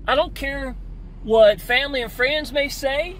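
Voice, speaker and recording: A middle-aged man giving an energetic, preachy motivational talk to an online marketing audience while driving, repeating "y'all" often. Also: very high-pitched, a little slow. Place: open-top convertible car outdoors. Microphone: phone camera at arm's length. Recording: wind and road noise, mic at a distance.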